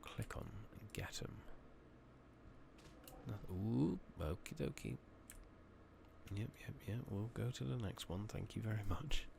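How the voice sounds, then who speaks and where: A man giving soft-spoken ASMR game commentary, muttering casually to himself and often slipping into a whisper, on a noisy close mic. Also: fairly low pitch, expressive, a little slow, gravelly, long pauses. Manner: murmuring, often dropping into whispers. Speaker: video game streamer. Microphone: makeshift-rigged new microphone. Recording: noisy close mic, slightly loud, clicking.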